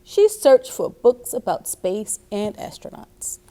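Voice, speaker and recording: Slow, lively woman reading a picture book. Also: medium pitch. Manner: expressive, addresses young listeners directly. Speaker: story time reader. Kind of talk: reading a children's picture book aloud with asides.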